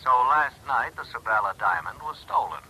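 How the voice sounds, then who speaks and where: A man playing a brisk, businesslike insurance adjuster over a telephone in 1950s radio drama. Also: medium pitch, animated. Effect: telephone filter. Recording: noisy old radio, phone-call filter.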